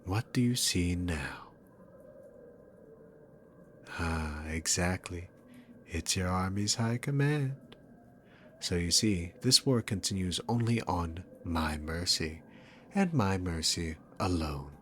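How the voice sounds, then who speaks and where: A man's voice: low-pitched, a little hiss or hum. A man playing a smug, sarcastic, dominant demon king villain in an ASMR roleplay, mocking and condescending as he addresses the listener. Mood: mocking, boastful. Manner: mocking, condescending, unhurried, punctuated by chuckles.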